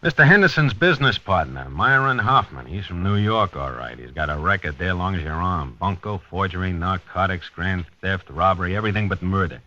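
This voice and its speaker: A dogged, world-weary American police lieutenant in a 1950s radio play. He is clipped and hard-boiled, low and very sharp, with lively intonation. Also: a little fast, hardly any pauses, slight background noise. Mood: keen, wry. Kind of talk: 1950s radio drama acting and first-person narration. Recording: dull vintage 1950s radio recording.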